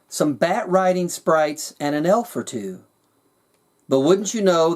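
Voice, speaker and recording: A man's voice: fairly high pitch, a little mellow. Man reading a rhyming storybook tale. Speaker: author reading their own work. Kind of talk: storybook narration in rhyming verse.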